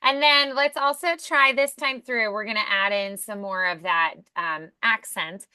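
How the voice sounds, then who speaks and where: A woman's voice: mid-range voice, a little slow, bright, forceful tone, smooth, dry recording. A woman working as a voice acting coach, giving casual, encouraging direction.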